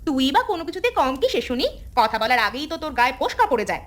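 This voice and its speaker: A woman's voice: high-pitched, sing-song, rapid-fire. A woman voicing a bickering sister-in-law in a village family cartoon, scolding and complaining. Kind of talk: cartoon family drama dialogue.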